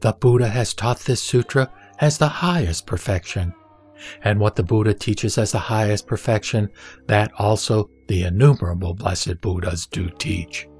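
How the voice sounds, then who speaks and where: A man playing a serene, authoritative spiritual teacher, measured and didactic, in a scripted sutra audio drama. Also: on the low side, a little mellow, husky, soft background music. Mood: solemn teaching. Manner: solemn, measured, didactic delivery. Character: the Buddha, serene, authoritative male spiritual teacher instructing a disciple.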